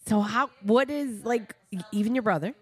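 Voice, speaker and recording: A woman's voice: a little high, a little mellow. A chatty woman hosting a casual interview podcast. She gushes freely and laughs easily. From United States. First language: Italian. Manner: chatty, gushing, quick to laugh. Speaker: podcast host.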